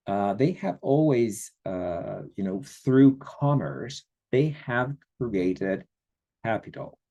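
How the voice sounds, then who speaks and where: A middle-aged man from Chile giving an online history lecture, speaking haltingly with many fillers and checking in with listeners. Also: on the low side, mellow. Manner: slow, hesitant, many fillers, checks in with the audience.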